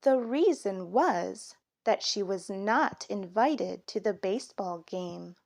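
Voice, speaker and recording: A woman reading a children's story aloud in an expressive, measured storytelling manner. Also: mid-range voice, vocal fry. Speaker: storybook reader. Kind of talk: children's story read aloud, scripted narration.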